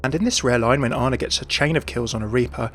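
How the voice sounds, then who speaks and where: A man's voice: medium pitch, fast, faint music behind. A man presenting scripted video game lore commentary in a chatty, enthusiastic manner.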